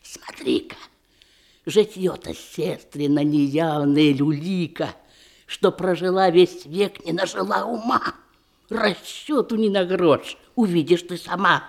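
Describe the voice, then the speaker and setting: An actress playing a stingy, judgmental middle-aged aunt in a Russian radio comedy in verse, speaking deliberately and theatrically. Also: female voice, fairly low pitch, vocal fry, heavily acted. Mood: approving, censorious.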